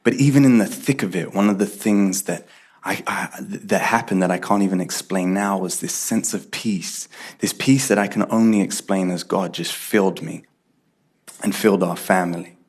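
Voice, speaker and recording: A man's voice: low-pitched, moderate pace, breathy edge. A man, giving an earnest, reflective personal faith testimony. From Ethiopia and UK. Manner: reflective, earnest, builds in intensity. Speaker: testimony speaker.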